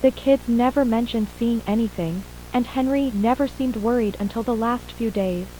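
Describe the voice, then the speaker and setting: A woman's voice: a little high, normal speed, mellow, lightly acted, rough recording. A woman playing a shaken mother and attack survivor, plainly recounting events in an acted horror audio drama interview. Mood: subdued, uneasy. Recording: faint hum and hiss.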